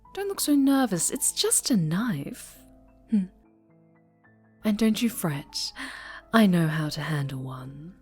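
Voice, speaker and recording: Woman acting a slow, possessive dark-angel superheroine. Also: medium pitch. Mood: gushing, excited, then sweetly threatening. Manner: slow, cooing, alternates breathy whispering with sweet menace. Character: possessive, sinister female superhero, dark angel, obsessively doting. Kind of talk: ASMR roleplay, intimate scripted acting.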